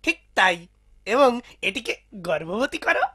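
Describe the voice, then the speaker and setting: Cartoon villager, female performer: sceptical challenger, sharp forceful tone, fairly high pitch. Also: sing-song, unhurried, slightly rough, exaggerated voice. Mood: challenging. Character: sceptical villager challenging a prophet to prove himself. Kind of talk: animated cartoon dialogue.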